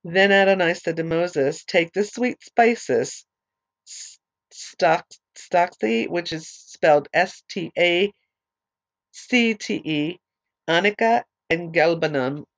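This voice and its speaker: A woman teaching a Bible study whose voice is fading from allergies. She reads scripture aloud slowly, adds short explanations, and spells out names letter by letter. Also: lowish voice. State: losing her voice from allergies.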